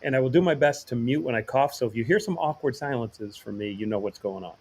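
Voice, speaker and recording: A man's voice: medium pitch, quick pace, a little mellow, husky. Nasal man with a flu. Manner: joking, rambling storyteller. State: getting over the flu, nasal. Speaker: podcast co-host. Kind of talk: casual chat.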